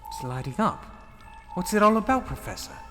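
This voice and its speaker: An actor playing a wide-eyed, uneasy companion in a sci-fi audio drama, full of curious, awed questions. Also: male voice, sits high, huge pitch swings, normal speed, a bit bright, faint music behind, exaggerated voice. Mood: uneasy wonder.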